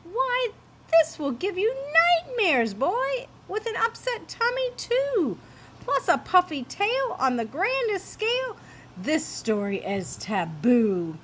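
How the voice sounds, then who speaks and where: A woman voicing a fussy mother cat who scolds in a drawn-out, exaggerated motherly way in a storybook reading. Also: extremely high pitch, huge pitch swings, slight background noise, voice pushed up, full character voice. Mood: alarmed, fussing.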